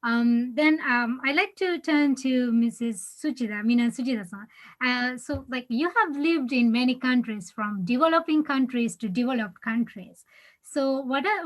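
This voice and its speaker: A woman moderating an online panel discussion, talking loosely with many fillers. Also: a little high.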